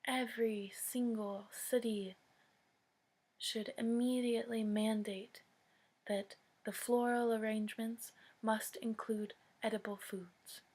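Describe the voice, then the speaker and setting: An American woman giving an earnest public address, speaking solemnly and deliberately in short phrases with long pauses. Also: a little high, steady tone, a little mellow. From United States.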